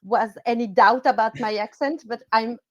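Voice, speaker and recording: A woman from France with a French accent, hosting a live webinar over a video call, talking in a relaxed and friendly way. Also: fairly high pitch, normal speed, dull audio. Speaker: webinar host.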